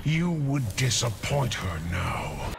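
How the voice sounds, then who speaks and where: A brutal, arrogant emperor from a fighting game. His gravelly voice swings widely in imperious menace, heard from a distance with long reverb over music. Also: medium pitch, very animated, slow pace, full character voice. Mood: scornful. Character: brutal, arrogant warlord emperor with a booming growl.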